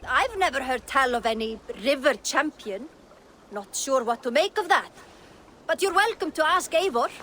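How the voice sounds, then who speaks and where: A woman's voice: sits high, expressive, moderate pace, a bit bright, a little hiss or hum. A woman playing an easygoing, wry Viking villager in a video game, casual and a little sceptical.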